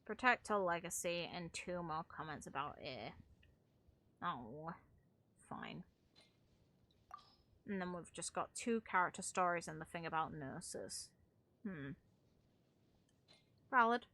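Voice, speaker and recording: A woman's voice: fairly low pitch, sharp tone, no echo. A woman giving casual, rambling gameplay commentary as the host of a gaming video. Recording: music playing behind the voice. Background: background music.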